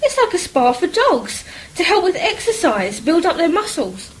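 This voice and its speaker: An actress plays a genteel English married woman in a period radio drama. She is high-pitched, homely and polite, chatting away over a faint wash of noise. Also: sing-song, moderate pace, poor audio. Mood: interested.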